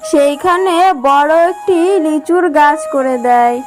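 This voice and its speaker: A woman with a very high voice narrates a children's story. Her delivery is scripted storytelling. Music plays clearly behind her. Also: a little slow.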